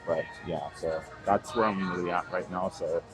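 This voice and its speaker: A man's voice: sits low, moderate pace, music in the background. A man in his thirties, a fitness vlogger, talking casually in a gym with plenty of fillers. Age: 30s. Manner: relaxed, rambling, with fillers. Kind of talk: casual vlog chat. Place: gym, indoors.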